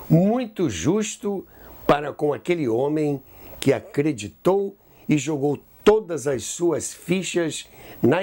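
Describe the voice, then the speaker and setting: A man with a Brazilian accent hosting a history program, narrating a scripted account in a measured, emphatic storyteller's way. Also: a little high, a little mellow, slightly rough. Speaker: host of a radio history show.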